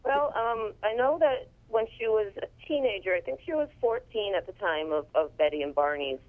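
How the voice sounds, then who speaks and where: A woman's voice: medium pitch, lively intonation, normal speed. A woman speaking over a telephone line as an interview guest, talking casually and informatively about what she organizes.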